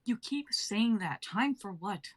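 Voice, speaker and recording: Deja, a caring and protective young queen, read by a woman with a husky, breathy voice. She speaks with urgency. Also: mid-range voice, animated, a little slow. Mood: urgent.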